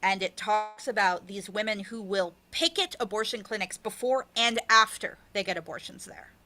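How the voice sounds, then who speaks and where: A young adult woman, an author being interviewed, talking casually in a candid, informal and slightly halting way. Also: medium pitch, pushed and bright.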